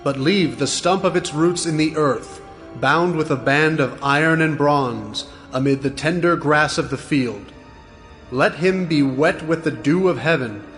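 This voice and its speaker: Stern angelic watcher, highish voice. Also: male voice, a little slow, soft background music. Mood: stern proclamation. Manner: forceful, solemn, proclaiming. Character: stern heavenly watcher angel proclaiming divine judgment. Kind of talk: dramatized audio scripture acting.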